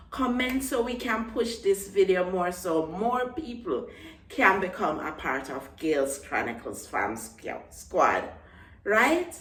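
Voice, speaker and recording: A woman's voice: on the low side, echoey room, far from the mic, slight background noise. A young woman from Jamaica with a Jamaican accent, chatting casually to her vlog audience and repeating words for emphasis. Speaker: vlogger. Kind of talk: casual talk to camera.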